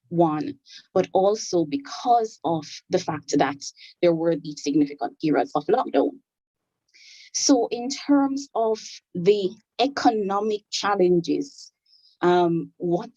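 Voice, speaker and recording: A woman with a Jamaican accent speaking as a panelist in an academic online panel, measured and thoughtful, with frequent fillers and restarts. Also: lowish voice, dark tone, no echo, muffled recording.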